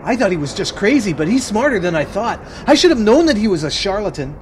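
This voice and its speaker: A man's voice: high-pitched, very animated, quick pace, a little hiss or hum. A man playing Monty, a paranoid, anxious young man who also narrates the story. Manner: jittery, anxious. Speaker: narrator and lead character. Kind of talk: amateur audio drama.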